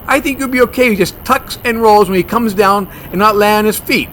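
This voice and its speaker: An actor playing a fussy, germophobic monster hunter, blunt and bossy, in a comedy horror audio drama. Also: male voice, high voice, brisk. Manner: deadpan, argumentative.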